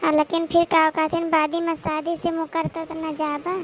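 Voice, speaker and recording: A woman's voice: very high voice, monotone, normal speed, slight background noise. A woman voicing an anxious, clingy young village woman in love in a cartoon comedy, with an Awadhi accent and a plaintive, fretful way of complaining. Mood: pleading.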